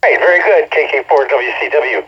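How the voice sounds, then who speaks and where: A man's voice: a little high, a little fast, slight background noise. A man making casual club announcements as an amateur radio operator over a two-way radio. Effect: two-way radio transmission. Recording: muffled ham radio signal.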